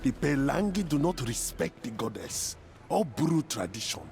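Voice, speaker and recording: Devout, proud warrior, mid-range voice, formal and earnest, video game scene under loud score. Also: male voice, mid-range voice, very animated, normal speed, soft background music. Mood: disdainful. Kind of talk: video game cutscene acting.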